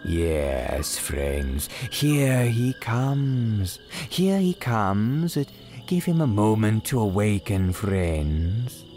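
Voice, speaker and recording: A man playing a courteous, gleefully sinister cemetery keeper, telling horror tales in grand, archaic, drawn-out phrases like old-time radio drama. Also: on the low side, animated, dark tone, soft background music. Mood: slyly macabre. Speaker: horror storyteller host.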